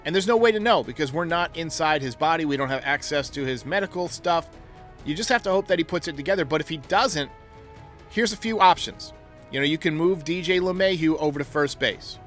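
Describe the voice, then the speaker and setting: A man with a slightly high voice hosts a sports talk show over faint music. He is opinionated and talks his way through each option in a conversational commentary style. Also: fairly bright tone.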